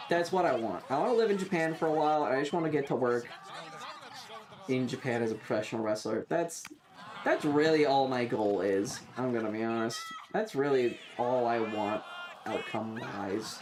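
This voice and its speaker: A man streaming casual live reaction commentary, talking in an excitable, slangy and profane way. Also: medium pitch, normal speed, background noise.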